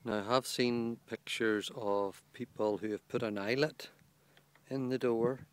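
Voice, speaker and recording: Man, plain mid-pitched voice, matter-of-fact gear reviewer outdoors, handheld camera mic. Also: fairly even intonation, a little slow, no room sound. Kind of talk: casual product walkthrough. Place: outdoors on a lawn beside a small tent. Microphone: handheld camera held by the speaker.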